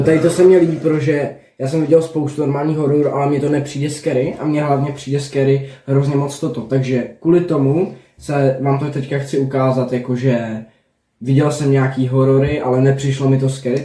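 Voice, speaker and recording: A man's voice: mid-range voice, steady tone, mellow. A young man chatting casually as a reaction host, joking and swearing in slang. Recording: roomy echo, faint noise and clicks.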